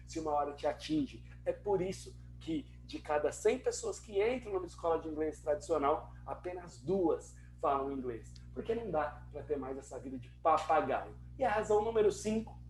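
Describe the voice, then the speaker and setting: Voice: mid-range voice, darkish tone. Emphatic Brazilian English-course presenter, roomy echo. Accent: Brazilian Portuguese. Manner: emphatic, rhetorical questions, repeats phrases for effect. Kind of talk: promotional online lesson. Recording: roomy echo with faint hum.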